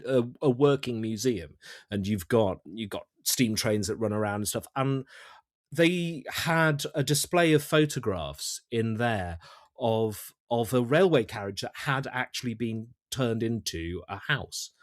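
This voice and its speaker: A man chatting as an interview guest about his creative work, thinking aloud haltingly and often repeating words. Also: medium pitch, no room sound, clean studio sound. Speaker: audio drama writer-director, interview guest. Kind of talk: casual interview chat.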